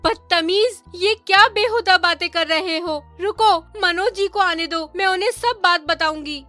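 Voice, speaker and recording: A distressed young bride from a horror cartoon, voiced by a woman. Her voice is high, shrill and dramatic, innocent and wronged, with music behind it. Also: measured pace, sharp tone, heavily acted. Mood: outraged. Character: frightened, outraged young bride, innocent and betrayed.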